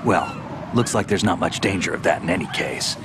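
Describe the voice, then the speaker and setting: An actor playing a cool, weary seasoned soldier in a video game, speaking in a terse, dry, laid-back way. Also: male voice, deep voice, fairly quick, noisy background, close to an everyday voice. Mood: matter-of-fact. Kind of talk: dramatic video game voice acting.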